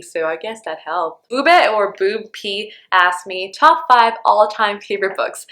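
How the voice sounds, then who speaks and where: A woman's voice: mid-range voice, fairly bright tone. Chatty young woman from Honduras. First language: Spanish. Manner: chatty, lots of "like" and "um". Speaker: book vlogger. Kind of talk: casual Q&A vlog.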